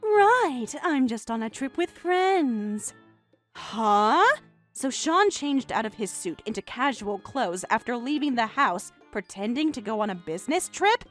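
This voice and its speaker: A woman's voice: a little high, very animated, a little slow, soft background music. A woman voicing a vengeful betrayed wife in a story, sarcastic and scolding. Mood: mock surprise.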